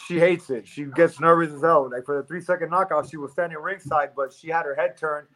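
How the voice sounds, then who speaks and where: A man in his forties from Miami, a fighter chatting as a guest in a relaxed way, laughing easily and stammering into his answers. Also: fairly high pitch, brisk. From Miami, United States. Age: 40s. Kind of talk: casual interview chat.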